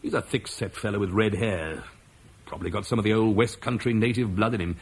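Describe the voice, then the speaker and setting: An actor playing Marcus, a duty-bound Roman officer, in an old radio play, earnest and measured. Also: male voice, on the low side, breathy edge. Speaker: Roman commander leaving Britain. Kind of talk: 1950s BBC radio drama acting.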